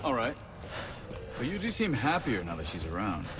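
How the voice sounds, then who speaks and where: An actor playing a cool, confident mercenary scout in a video game, with a wry, understated manner. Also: male voice, mid-range voice, huge pitch swings, normal speed, music in the background. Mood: warm, teasing. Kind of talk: video game cutscene acting.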